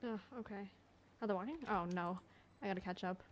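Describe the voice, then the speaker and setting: A woman's voice: mid-range voice, fairly even intonation, fairly quick, a little hiss or hum. A woman giving casual, informal gameplay reactions, muttering asides as she plays. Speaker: gamer reacting to a playthrough. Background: game audio.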